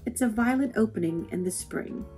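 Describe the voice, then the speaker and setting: Female reader for children's poetry: medium pitch, expressive and measured, plucked guitar music underneath. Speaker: read-aloud host.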